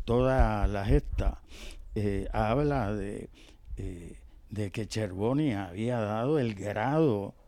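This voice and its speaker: An older Puerto Rican man, a professor being interviewed, telling history conversationally and haltingly, often pausing to search for words. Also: fairly low pitch, warm tone, no room sound. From Puerto Rico. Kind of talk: conversational oral history storytelling.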